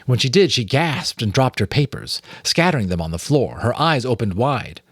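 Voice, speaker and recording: A man's voice: medium pitch, big pitch swings, moderate pace, slightly rough, no echo, studio quality. A man with an American accent, reading a story aloud in dramatic audiobook narration.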